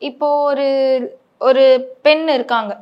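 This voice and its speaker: High-voiced woman interviewer. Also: unhurried, muffled recording. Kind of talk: conversational interviewing, Tamil mixed with English words.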